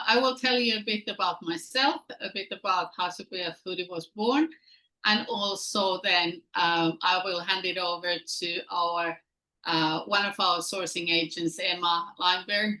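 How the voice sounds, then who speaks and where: A middle-aged woman from Finland with a Finnish accent, giving an informal webinar presentation in halting, non-native phrasing. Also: lowish voice, pushed and bright, rough recording. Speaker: guest presenter, company founder. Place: online webinar.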